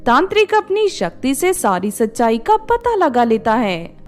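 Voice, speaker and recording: A woman's voice: sits high, very animated, measured pace, faint music behind. A woman reading a scripted children's story as its narrator.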